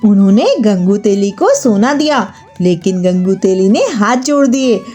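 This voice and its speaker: A woman narrating a scripted moral story for children in a measured, expressive storybook style. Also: medium pitch, soft background music.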